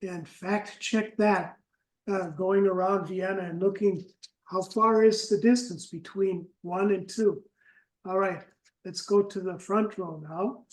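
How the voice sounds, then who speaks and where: High-voiced upbeat male instructor, roomy echo. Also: steady tone. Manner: upbeat, brisk, keeps things moving. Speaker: course instructor and moderator. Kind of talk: classroom moderation. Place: classroom.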